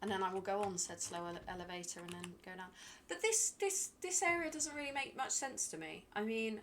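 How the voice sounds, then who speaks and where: A woman's voice: medium pitch, a little fast, a bit bright, a little hiss or hum. A British woman streaming a game, talking to herself and chatting to viewers in a dry, rambling way. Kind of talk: live gameplay commentary.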